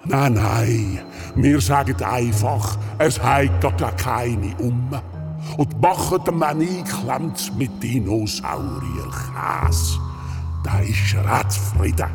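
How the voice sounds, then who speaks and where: An actor playing a friendly, mischievous giant in a children's radio play, with a deliberate, comforting manner. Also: male voice, medium pitch. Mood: sly, scornful. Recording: long reverb, music behind the voice.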